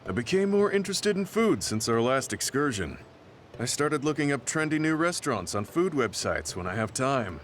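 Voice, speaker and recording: An actor playing a stoic, earnest veteran soldier in a video game, speaking in a serious, reserved, measured way. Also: male voice, mid-range voice, very animated, lightly acted. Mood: calm, earnest. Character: stoic, earnest male veteran soldier, quietly grieving and resolute. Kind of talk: English video game voice acting. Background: soft background music.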